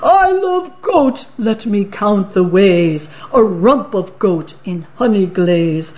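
A woman's voice: medium pitch, lively intonation, takes it slowly, a little mellow. A woman voicing a hungry, boastful male troll who gloats in sing-song rhymes, on a dull lo-fi recording. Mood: gleeful.

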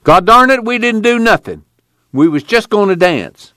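A man's voice: high voice, very animated, moderate pace, pushed and bright, no echo, clean studio sound, voice pushed up, exaggerated voice. A man voicing an indignant young migrant worker with a drawl. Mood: defiant. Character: angry young male migrant worker, defiant.

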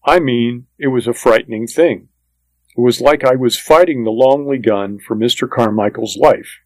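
A man's voice: mid-range voice, moderate pace, no echo, poor audio. A man reading a first-person western story as scripted audiobook narration, in a plain, matter-of-fact manner.

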